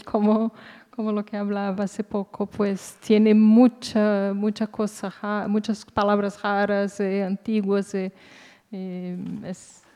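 A woman, a native Portuguese speaker, talking unscripted as a guest author, haltingly searching for words. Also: mid-range voice, mellow. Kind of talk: unscripted talk at a literary event. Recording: echoey hall reverb.

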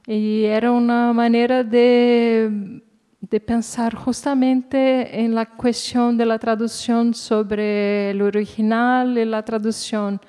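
A woman, a native Portuguese speaker, talking unscripted as a guest author, haltingly searching for words. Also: on the high side, level tone, warm tone, clean tone. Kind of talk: unscripted talk at a literary event. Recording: echoey hall reverb.

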